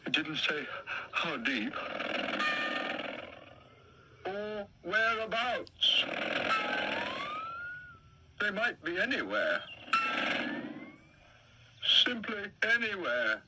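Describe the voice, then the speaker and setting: A man's voice: high voice, big pitch swings, extremely slow, pauses often, heavy background noise, full character voice. A man voicing a fussy, anxious lion who grumbles and frets in a storybook reading. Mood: fretful. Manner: fretful, grumbling. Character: fussy anxious male lion, easily flustered.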